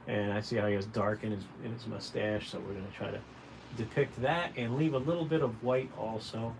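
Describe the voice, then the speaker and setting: A man's voice: on the low side, steady tone, a little fast. A man giving a casual, folksy drawing tutorial on a livestream, talking directly and encouragingly to viewers. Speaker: caricature artist hosting a drawing livestream. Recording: clearly noisy room ambience.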